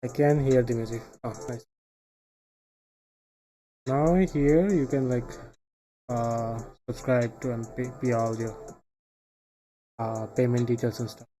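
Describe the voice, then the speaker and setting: A man from Bangladesh, thinking aloud in casual live coding commentary, halting and trailing off into quiet asides. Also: mid-range voice, fairly even intonation, soft background music, poor audio. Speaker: live streamer building software.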